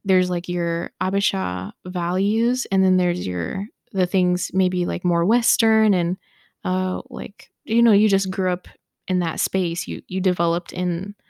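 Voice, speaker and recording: A young woman from Ethiopia speaks in a low, gravelly voice with plenty of vocal fry. She talks haltingly, leaning on fillers while she gathers her thoughts. The sound is dry and close. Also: steady tone, darkish tone, dry recording. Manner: halting, many fillers, searching for words. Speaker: podcast co-host. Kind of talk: casual conversation.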